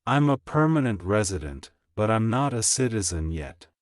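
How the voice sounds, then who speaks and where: Low-voiced man reading sentences slowly. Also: smooth, no room sound, clean studio sound. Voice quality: plain, even reading of each sentence, repeated. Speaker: English teacher reading practice sentences. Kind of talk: slow, clear scripted reading for shadowing practice.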